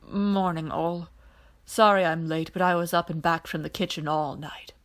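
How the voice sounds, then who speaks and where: A weary alien man as a woman reader plays him speaks in a measured, quiet way. He is wry and dryly teasing, like a practised storyteller in an audiobook. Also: on the low side, fairly even intonation, lightly acted. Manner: dry, measured, quiet explanations.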